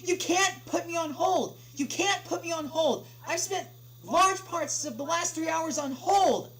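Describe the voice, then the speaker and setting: A woman voicing an irate, indignant male customer on a support call, loud and strained, demanding things over and over. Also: extremely high pitch, a little slow, voice pushed up. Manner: indignant, repeating demands with rising insistence. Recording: clearly noisy home livestream with hum.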